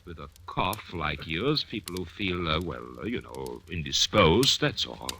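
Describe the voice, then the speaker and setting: An actor playing a calculating, sly male prisoner who speaks smoothly and with menacing calm, and who also narrates, in 1940s radio acting. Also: low-pitched, a little slow, full character voice. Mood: soothing.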